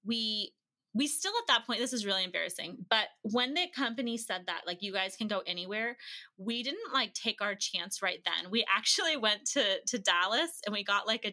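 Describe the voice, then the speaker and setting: A woman telling personal stories casually as a podcast guest, chatty and quick to laugh. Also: medium pitch, animated, sharp tone. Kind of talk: casual anecdotal storytelling in an interview.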